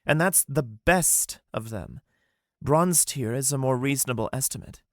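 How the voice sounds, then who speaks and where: A man's voice: medium pitch, expressive, a little slow, no echo, clean studio sound, voice pushed up. A man voicing a blunt, firm young woman companion.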